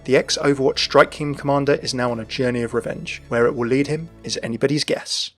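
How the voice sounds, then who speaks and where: Man, scripted lore narration, mid-pitched, husky, fast delivery, video narrator. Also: steady tone, soft background music.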